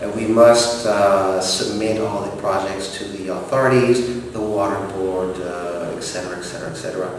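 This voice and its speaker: A French-speaking man from Montreal in his forties talks formally and pauses often to find his words. He sits well back from the microphone. A very echoey room with a long reverb tail and a faint background hum surrounds his voice. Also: low-pitched, distant mic. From Montreal, Canada. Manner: formal, measured, frequent hesitations. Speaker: director of operations. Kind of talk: interview answers.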